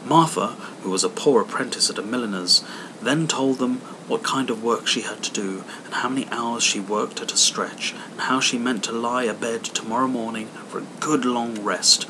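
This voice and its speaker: A man's voice: mid-range voice, background noise. A man reading a literary story aloud as scripted audiobook narration. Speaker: audiobook reader.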